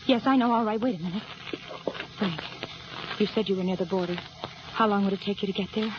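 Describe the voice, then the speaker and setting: An actress playing a brave, earnest young hostage in a radio crime drama, pleading and hesitant. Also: female voice, mid-range voice, quick pace, lightly acted. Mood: urgent, pleading. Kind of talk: old-time radio drama acting. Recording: dull old radio recording, faint noise.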